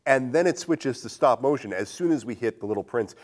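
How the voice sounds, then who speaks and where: A man with a lowish voice hosting a casual film discussion, thinking aloud as he frames probing questions. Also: expressive, brisk. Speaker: podcast host.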